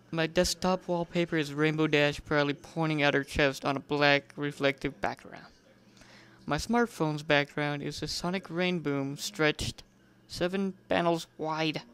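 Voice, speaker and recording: Amateur story reader, slow pace, smooth clear tone, theatrical emphasis, stumbles and self-corrects. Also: mid-range voice, a bit bright. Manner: stumbles over words and corrects mid-sentence. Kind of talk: dramatic reading of fan fiction, first person narration.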